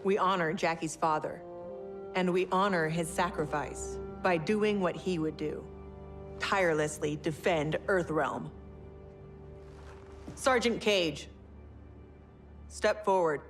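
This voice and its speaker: An actress voicing a tough female military general who talks in a crisp, authoritative way. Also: on the low side, takes it slowly, pauses often, over music. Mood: formal. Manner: crisp, commanding military address. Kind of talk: video game cutscene acting. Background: orchestral game score.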